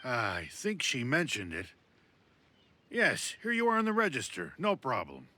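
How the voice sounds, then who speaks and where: A man's voice: medium pitch, wildly swooping pitch, a little slow, sharp tone, full character voice. A man voicing an obliging, helpful warehouse clerk in an anime game. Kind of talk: anime game dialogue acting. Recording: faint background noise.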